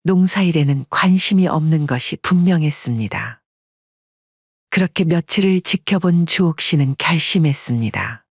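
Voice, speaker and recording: A woman reads a family drama in a calm, measured voice that is slightly husky. The recording is close and dry, with a somewhat dull, muffled tone. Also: deep voice, no room sound. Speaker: audiobook narrator. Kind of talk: scripted story narration.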